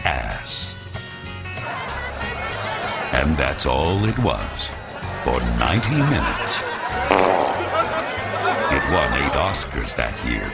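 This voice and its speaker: Male narrator, deadpan comedy, very low and slow delivery, loud music and crowd behind him. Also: creaky. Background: loud music and crowd laughter.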